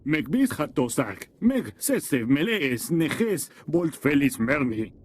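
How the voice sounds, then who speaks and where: Game alien warrior: raspy, higher-pitched, swooping and emphatic delivery. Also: male voice, very animated, takes it slowly, full character voice. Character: male alien soldier speaking a guttural invented tongue. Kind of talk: video game creature voice.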